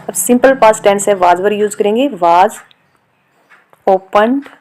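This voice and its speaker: A woman with an Indian accent explaining a lesson step by step as a teacher, reading out examples slowly and deliberately. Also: mid-range voice, darkish tone, poor audio. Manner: instructional, reads example sentences slowly and deliberately. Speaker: grammar teacher. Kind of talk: step-by-step lesson explanation mixing Hindi and English.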